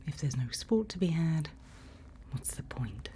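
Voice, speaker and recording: A woman playing a calm forest night-watch guide in an ASMR roleplay, whispering soothing, unhurried instructions close to the microphone. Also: deep voice, slight background noise. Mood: calm, hushed.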